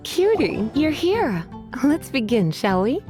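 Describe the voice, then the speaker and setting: Coy, high-voiced, teasing librarian. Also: female voice, sing-song, slow, over music, heavily acted. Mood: teasing, flirtatious. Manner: coy, drawling, teasing, sighing. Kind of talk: video game voice acting.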